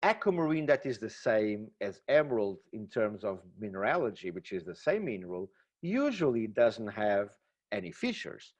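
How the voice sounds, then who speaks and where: A man's voice: on the low side, very animated, moderate pace, a little mellow. A man with a Portuguese accent giving a webinar lecture, talking with enthusiasm and frequent exclamations. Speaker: gemmology lecturer. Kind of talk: webinar presentation. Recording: dull lo-fi webinar audio.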